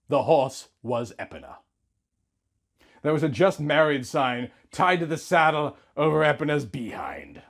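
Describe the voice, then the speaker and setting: A man's voice: fairly high pitch, vocal fry. A man in his thirties giving a mock-earnest, melodramatic reading of a story, leaning on words for emphasis. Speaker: host of a comedy reading series. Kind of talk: theatrical dramatic reading of fan fiction.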